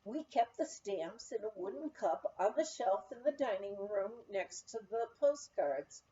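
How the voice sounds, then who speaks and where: Woman, audiobook reader, unhurried pace, mellow tone, expressive humorous memoir narration, slightly muffled recording. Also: mid-range voice. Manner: expressive storytelling, acting out quoted speech. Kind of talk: animated read-aloud of a humorous memoir.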